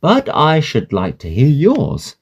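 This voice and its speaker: An actor playing a sceptical, kindly, fussy Victorian gentleman doctor in a radio drama, proper and measured in manner. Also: a little high, wildly swooping pitch, slightly rough, muffled recording, full character voice. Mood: puzzled.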